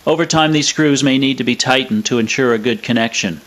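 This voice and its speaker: A man presenting a scripted technical explainer in a measured, matter-of-fact way. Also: mid-range voice, fairly bright tone. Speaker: presenter of an electronics review.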